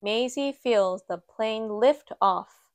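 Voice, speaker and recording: Slow, gentle woman reading a storybook. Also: on the high side, no room sound. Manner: slow, gentle and expressive, lifting for quoted lines. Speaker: children's book reader. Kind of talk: read-aloud storytime narration. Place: indoors at a table, book filmed from above.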